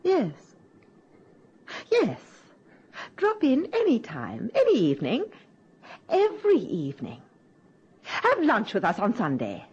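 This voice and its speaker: A woman's voice: fairly high pitch, huge pitch swings, slow pace, pauses often, a little mellow, slightly rough, heavily acted. An actress playing a shy, defensive, tart-tongued unmarried woman in her thirties in a radio drama. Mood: flustered, softening. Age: 30s. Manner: guarded, prickly, sardonic. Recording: dull lo-fi old radio recording.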